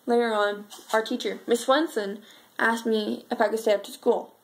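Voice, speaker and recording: A sad, frightened schoolgirl played by a woman tells her story in a fairly high voice. The delivery is an earnest amateur monologue, halting and full of pauses. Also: flat delivery, lightly acted, rough recording. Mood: troubled recollection.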